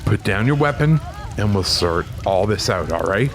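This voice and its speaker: A man's voice: lowish voice, lively intonation, normal speed. A man playing an anxious, earnest policeman in an audio drama, urgent and coaxing as he negotiates. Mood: coaxing, pleading.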